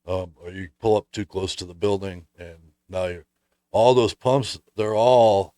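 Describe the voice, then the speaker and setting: A middle-aged man hosting a casual conversation, talking in a halting way as he thinks aloud. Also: deep voice, warm tone, no room sound, clean studio sound. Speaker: host.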